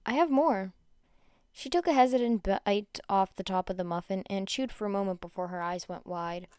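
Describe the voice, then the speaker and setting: A woman narrating a fan fiction story aloud with expressive delivery. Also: mid-range voice, brisk, smooth, no echo, clean studio sound.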